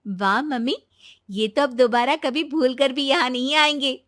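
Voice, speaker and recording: Woman as high-voiced, earnest young daughter-in-law. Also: measured pace, a bit bright, no room sound, studio quality. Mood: proud. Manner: earnest, persuasive. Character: patient, encouraging young married daughter-in-law. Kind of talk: animated Hindi moral-story acting.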